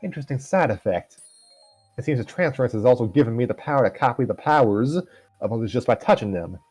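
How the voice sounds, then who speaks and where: A man's voice: medium pitch, animated, fast talker, a little mellow, slightly rough, faint music behind. A man voicing an angry, gloating male villain in a read-aloud story.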